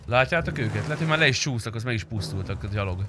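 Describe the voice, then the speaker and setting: A man's voice: mid-range voice, animated. A young man streaming a game and chatting casually with friends in an informal, sweary way. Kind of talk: casual gameplay chat with friends. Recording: loud game car noise behind voice. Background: game engine and tyre sounds.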